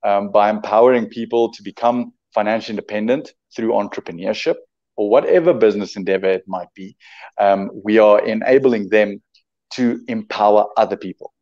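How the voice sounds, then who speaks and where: A young man from South Africa, Afrikaans his first language, is talking on a video call from a room at home. His voice sits low and warm, and it comes through a dull, lo-fi webcam line. He talks eagerly and often restarts his phrases. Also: a little fast. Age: 20s. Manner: eager, repeats words while building his point. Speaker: guest, entrepreneur and author. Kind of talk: casual video-call interview.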